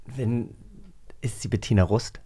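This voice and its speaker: A woman hosting a podcast in casual chat, speaking in an excitable, expressive way. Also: a bass voice, unhurried, breathy edge. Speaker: podcast host.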